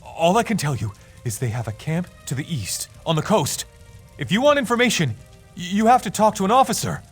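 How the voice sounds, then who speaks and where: An actor voicing a captured enemy guard in a video game, defiant but easily cowed, with a high, husky voice. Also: male voice, sing-song, brisk, soft background music, exaggerated voice. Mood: nervous, yielding. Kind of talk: video game character dialogue.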